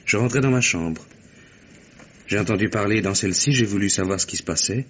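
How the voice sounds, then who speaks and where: An actor playing a brisk, self-assured private secretary, talkative and frank, in a mid-century French radio mystery. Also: male voice, lowish voice, a little hiss or hum, lightly acted.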